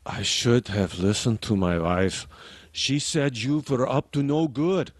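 An actor playing an anxious, evasive Viennese porter in a radio drama. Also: male voice, mid-range voice, slow, no room sound. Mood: anxious, protesting. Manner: nervous, evasive, protesting. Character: anxious Viennese porter, reluctant male witness.

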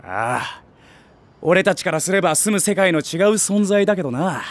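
A high-voiced cocky stray tomcat hustler. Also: male voice, expressive, moderate pace. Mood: cocky, scheming. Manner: brash, rough street talk. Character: cocky, ambitious streetwise stray tomcat, small-time gang big brother. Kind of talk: Japanese voice drama acting.

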